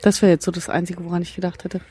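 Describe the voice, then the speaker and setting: A woman's voice: fairly low pitch, flat delivery, very fast, warm tone, husky. A woman from East Berlin hosting a casual podcast discussion outdoors, reflective and given to long winding sentences. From East Berlin, Germany. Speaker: podcast host. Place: outdoors, open-air recording.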